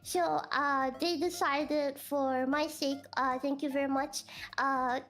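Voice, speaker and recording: A woman's voice: sits high, fairly even intonation, takes it slowly, a bit bright. A woman chatting casually on a stream, rambling with many fillers and restarts and often breaking into giggles. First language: not Japanese. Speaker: VTuber on a collab stream. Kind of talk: casual chat answering viewer questions.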